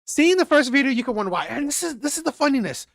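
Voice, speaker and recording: A man's voice: very high-pitched, sing-song, fast talker, creaky, fairly bright tone, no echo, studio quality. A man commenting on a video clip in a mocking tone, repeating his points and mimicking voices. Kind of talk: reaction commentary.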